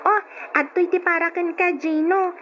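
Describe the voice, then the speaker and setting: A woman's voice: very high voice, fairly even intonation, normal speed, fairly bright tone, faint music behind. A woman playing a sweet, generous young daughter who pleads with her parents in an old Ilocano radio drama. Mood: cheerful, sweet. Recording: dull lo-fi radio recording.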